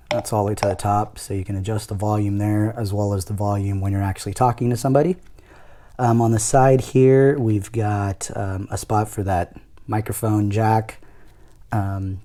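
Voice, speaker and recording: A man's voice: lowish voice, warm tone. A man giving a casual product demonstration, explaining things step by step in an informal way. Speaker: product reviewer. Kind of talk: casual unboxing demo.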